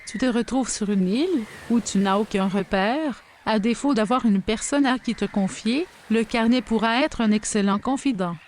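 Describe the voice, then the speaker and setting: A woman's voice: fairly high pitch, moderate pace, darkish tone, a little hiss or hum, natural voice. A woman playing a kindly, advising overseer of a young captive in an audio drama, reassuring and instructive.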